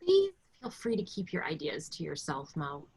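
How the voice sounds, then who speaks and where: A woman's voice: deep voice, huge pitch swings, normal speed, a little mellow, slightly rough, full character voice. A woman in a staged play reading, playing a curt, exasperated, snappy office worker. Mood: exasperated, scolding.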